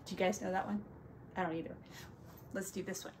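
A woman teacher reading a picture book aloud to young children, with drawn-out emphasis and playful asides. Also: medium pitch, fairly even intonation, darkish tone.

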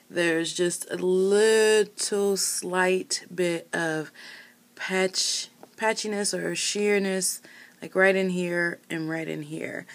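Woman, 30s, low pitch, hesitant casual reviewer, small room, desk cam. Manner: rambling, hesitant, many fillers and self-corrections. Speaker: nail polish reviewer. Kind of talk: casual product review while painting nails.

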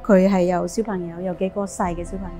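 A woman's voice: lowish voice, level tone, fast talker, faint music behind. A woman in her thirties from Indonesia, a service-centre worker, speaking hesitantly with frequent er fillers in a documentary interview. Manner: warm-hearted, hesitant with er fillers. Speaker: program volunteer and staff.

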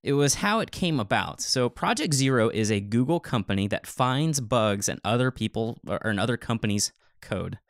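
A man's voice: mid-range voice, expressive, moderate pace, few pauses, no room sound, studio quality. A man co-hosting a podcast in casual tech discussion, talking in a rambling, opinionated way. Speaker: podcast co-host and web developer.

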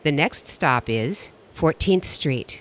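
Dull-sounding higher-voiced male transit announcer. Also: animated, takes it slowly, a little hiss or hum. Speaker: train announcer.

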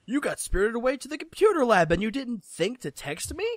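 A man's voice: very high voice, sing-song, fairly quick, no echo, full character voice. A man voicing a brash, teasing young male gamer friend in a visual novel. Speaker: voice actor in a fan dub.

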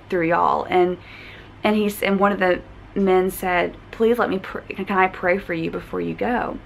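Young woman, hoarse, earnest, fillers and restarts, phone held at arm's length. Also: medium pitch, a little hiss or hum, low-quality recording. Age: young adult. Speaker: travel vlogger. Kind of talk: casual vlog chat. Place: hotel room at night. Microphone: phone camera at arm's length.

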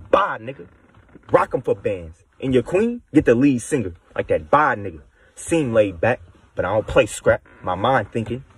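A young man with an American accent and a husky, rough voice, delivering aggressive, boastful battle rap verses a cappella. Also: fairly low pitch. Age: young adult. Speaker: battle rapper.

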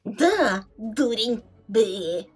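Woman playing a raspy, high-pitched teasing troll girl. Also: sing-song, drawn-out words, soft background music, exaggerated voice. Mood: bossy, playful. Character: teasing, bossy teenage alien troll girl. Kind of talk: fan dub of a webcomic chat.

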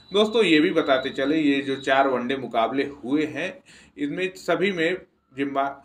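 Man hosting a cricket pitch report. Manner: brisk, informative, addresses viewers as friends. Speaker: cricket channel host. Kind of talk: scripted YouTube pitch report voice-over.

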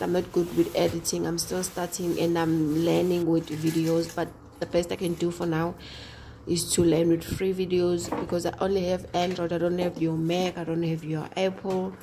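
Casting: woman homemaking vlogger, very level intonation, conversational and halting, over a clearly noisy home recording. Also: fairly low pitch, level tone. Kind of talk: casual chatty voice-over to viewers.

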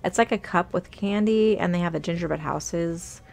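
A woman's voice: on the low side, normal speed, vocal fry, darkish tone. A woman vlogging as she walks through a store, chatting upbeat and reading out prices on a handheld phone. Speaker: shopping vlogger. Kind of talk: casual shop-with-me narration. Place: inside a large retail store.

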